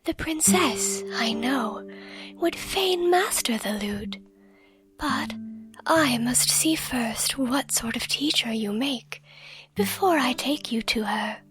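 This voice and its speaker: A woman voicing a playful, teasing young princess in a breathy whisper, from a storybook fairy tale. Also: sits high, expressive, slow pace, fairly bright tone, faint music behind, heavily acted. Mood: light, teasing. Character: playful young princess posing as a flower maiden.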